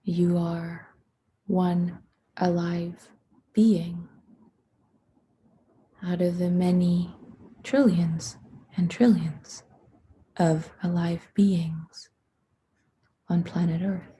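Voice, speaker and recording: A woman leading a guided meditation in a hushed, soothing, unhurried manner, with long pauses between phrases and a soft, breathy voice. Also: lowish voice, level tone.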